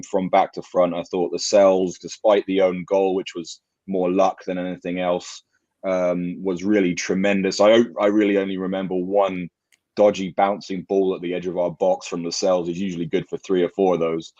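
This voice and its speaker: A man giving casual football analysis as a podcast panellist, measured and deliberate in how he talks. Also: deep voice, monotone, darkish tone, no echo. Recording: dry, dull lo-fi microphone sound.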